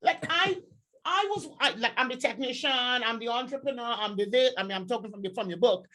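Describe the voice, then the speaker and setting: A woman hosting a podcast, chatting casually in an emphatic, excitable way and often repeating her words. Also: a little high, brisk. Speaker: podcast host. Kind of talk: casual interview chat.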